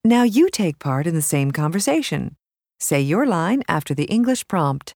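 A woman reads short instructional prompts for a language course. She speaks slowly and carefully, with lively rises and falls in her intonation. The recording is dry and free of room sound. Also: lowish voice, no echo.

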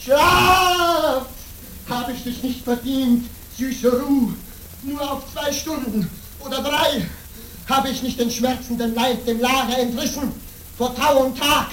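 An actor playing a tormented, exhausted monk in a radio play, lamenting in a drawn-out, declamatory way. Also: male voice, extremely high pitch, a bit bright, heavily acted. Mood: anguished. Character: tormented penitent monk, anguished, exhausted, driven. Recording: echoing cave-like space, distant, faint noise.

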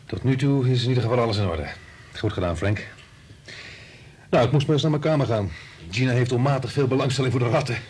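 Radio drama part: condescending boss male actor, mid-range voice, brisk authority, slight ambient noise. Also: medium pitch, animated, a little mellow, natural voice. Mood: satisfied. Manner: authoritative, matter-of-fact.

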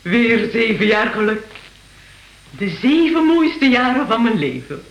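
A woman acting a warm-hearted, affectionate elderly grandmother in a radio drama. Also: on the high side, sing-song, measured pace, highs cut off. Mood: joyful, lively. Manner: theatrical, affectionate. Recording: roomy, echoing radio play studio.

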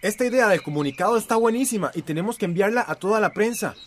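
Quick, eager young friend woman acting. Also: medium pitch, lively intonation, natural voice. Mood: impatient. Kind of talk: radio drama acting.

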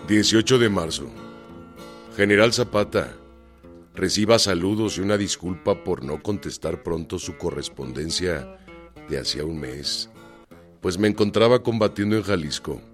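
An actor playing a commanding Mexican revolutionary general in a radio drama, with a Mexican accent. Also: male voice, sits low. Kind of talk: radio drama acting, dictating letters and orders.

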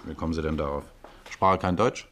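A very deep-voiced police inspector from a 1950s German radio krimi. He is brisk and probing, putting clipped questions with dry authority. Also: steady tone, a bit bright. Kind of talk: 1950s German radio crime drama acting.

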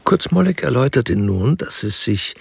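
A man's voice: mid-range voice, sing-song, moderate pace, creaky, slightly rough. A man giving scripted narration in a German radio crime drama.

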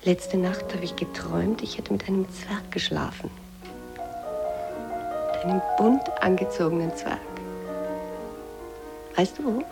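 An intimate, soothing woman in a naturalistic German radio play of the 1980s. Her voice is creaky and often sinks to a breathy half-whisper, with music playing underneath. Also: on the low side, measured pace, pauses often. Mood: hushed, dreamy. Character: sleepless, soothing woman, lover of a radio writer.

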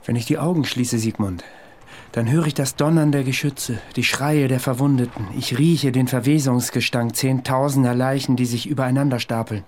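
A man's voice: mid-range voice, fairly even intonation, normal speed, a little mellow, a little hiss or hum, close to an everyday voice. An actor in a radio play playing an earnest, haunted man with a dryly ironic, insistent manner. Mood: haunted, intense. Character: intense, wry, haunted man claiming to be Napoleon's veteran.

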